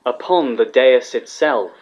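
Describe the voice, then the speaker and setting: A man's voice: medium pitch, measured pace, darkish tone. A man reading scripted fiction as the narrator of a dramatic story. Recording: slightly dull, lo-fi recording.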